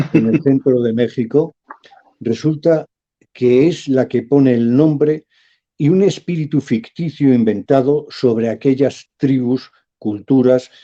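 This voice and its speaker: A man, a professor speaking as a guest in a radio interview, measured and emphatic. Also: medium pitch, warm tone, lo-fi sound.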